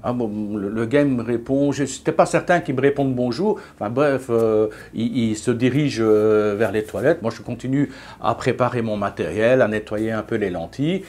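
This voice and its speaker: Vlogger in his fifties, chatty and halting, mid-pitched voice. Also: darkish tone. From Belgium. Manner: chatty, hesitant with frequent fillers and restarts. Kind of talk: casual vlog monologue.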